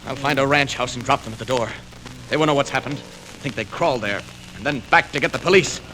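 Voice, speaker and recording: An actor playing a determined, righteous superhero, declaiming to himself in 1940s radio drama style. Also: male voice, medium pitch, fast talker, sharp tone, lightly acted. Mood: reassuring, resolute. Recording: very noisy old radio record.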